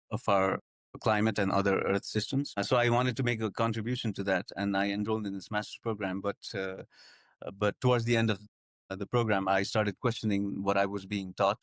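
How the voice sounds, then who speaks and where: A man's voice: lowish voice, dry recording, clean studio sound. A young man from Nepal, a guest in an interview, talking about his path in a hesitant way, with many fillers and restarts. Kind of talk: conversational interview.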